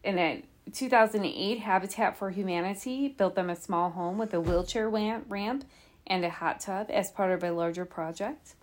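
A woman's voice: mid-range voice, normal speed. A woman hosting a podcast, telling a true crime case in an informal, conversational way with asides and rhetorical questions. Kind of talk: conversational storytelling from notes.

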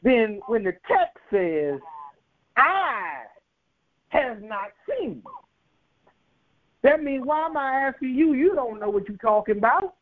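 A man preaching a sermon, building emphatic, rhythmic repetitions and rising to sharp peaks. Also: very high-pitched, very animated, slow, long pauses. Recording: tinny phone-like radio broadcast.

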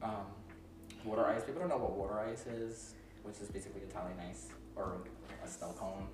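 A young man from Philadelphia hosting a casual chat with a friend, chatty and quick to laugh, loose with slang. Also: fairly low pitch. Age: young adult. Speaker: podcast host. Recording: echoey room, mic a bit far, steady background noise.

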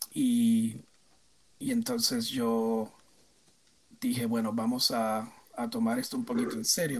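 A man in his fifties with a Puerto Rican accent speaks as an interview guest, thoughtful and hesitant, filling pauses with "eh". His mid-pitched voice has a slightly husky edge. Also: poor audio. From Puerto Rico. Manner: reflective, hesitant, many fillers. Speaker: guest, theme park designer. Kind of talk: casual interview answers.

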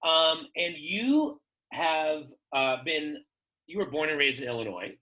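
A podcast host asking questions in a playful, laughing, animated way. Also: medium pitch, measured pace, lo-fi sound. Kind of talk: casual conversational interview.

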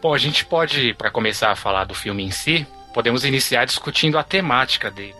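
Guy hosting a movie podcast, bright tone, reads credits, asks the panel stuff. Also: medium pitch, lively intonation, brisk, soft background music. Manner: steers the talk, reads credits, asks questions. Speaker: podcast host. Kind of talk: casual podcast discussion.

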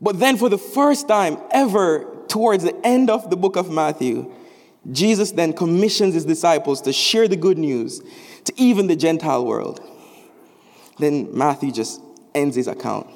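A young man from Jamaica, a student preacher, giving an animated chapel sermon with rising, emphatic phrases. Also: on the high side, normal speed. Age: young adult. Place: large chapel. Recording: echoey chapel hall.

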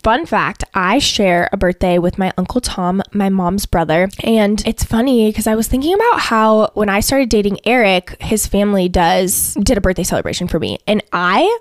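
A woman in her late twenties chats candidly and intensely on a solo podcast, with a lot of "like" fillers. Her voice sits at a middle pitch. The recording is dry and close, with no room sound. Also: no echo. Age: late 20s. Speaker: podcast host. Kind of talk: casual solo podcast chat.

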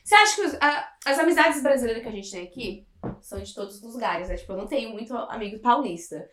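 A woman talks very quickly in a slightly high voice, recorded in an echoey room. She chats casually as a podcast co-host, using slang and chiming in. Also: lively intonation, fairly bright tone, slight background noise. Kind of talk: casual chat.

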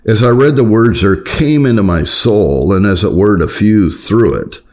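A man reading an old religious memoir aloud as an audiobook narrator, in a solemn, measured and formal way. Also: lowish voice, expressive, darkish tone. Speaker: audiobook reader. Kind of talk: scripted reading of a Puritan memoir.

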